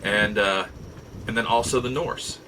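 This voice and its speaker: A middle-aged American man telling nostalgic personal stories in a casual, halting, reflective way with drawn-out pauses. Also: fairly low pitch, pushed and bright, a little hiss or hum, low-quality recording. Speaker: podcast host. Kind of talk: casual nostalgic storytelling monologue.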